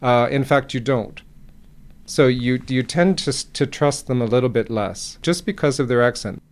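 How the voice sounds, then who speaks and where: Halting male academic in an interview. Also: medium pitch. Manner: halting, repeats phrases for emphasis. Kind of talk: spontaneous expert interview.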